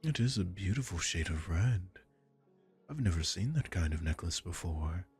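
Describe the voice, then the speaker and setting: A gravelly vampire lord. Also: male voice, lively intonation, darkish tone, faint music behind, full character voice. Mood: seductive. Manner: slow, low, menacing seductive murmur. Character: arrogant aristocratic male vampire lord. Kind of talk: ASMR audio roleplay.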